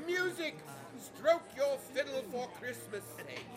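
Jolly, high-voiced old Mr. Fezziwig. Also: male voice, expressive, slow pace, over music, exaggerated voice. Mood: jubilant, festive. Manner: hearty, theatrical, exclamatory. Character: jolly, warm-hearted older gentleman host, Mr. Fezziwig. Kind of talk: stage-style radio drama acting.

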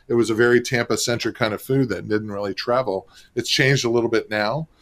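A man from Florida, a restaurant marketing executive and co-author, talking in a relaxed, chatty way with lots of fillers. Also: medium pitch, fairly quick. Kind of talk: radio interview guest.

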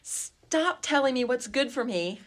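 A woman's voice: high-pitched, animated, unhurried. A woman playing an imperious, overwrought lady of the house in a radio play. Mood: indignant, overwrought. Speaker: actor in a community radio play.

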